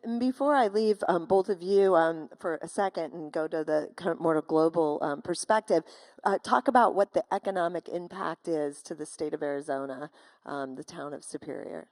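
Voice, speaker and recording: A woman's voice: low-pitched, moderate pace, a little mellow. A woman moderating a panel discussion, putting questions to the speakers. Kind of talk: interviewing, asking questions.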